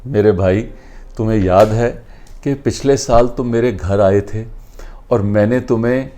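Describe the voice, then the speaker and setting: Storybook role: troubled, earnest male friend with probing delivery and a soft, mellow tone played by a man narrating. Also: on the low side, unhurried, natural voice.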